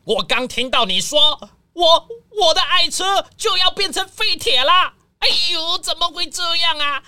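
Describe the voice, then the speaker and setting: Shrill, bossy male tycoon. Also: animated, unhurried, pushed and bright, no room sound, full character voice. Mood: indignant, distraught. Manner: bossy, melodramatic. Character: rich, vain, excitable male car tycoon.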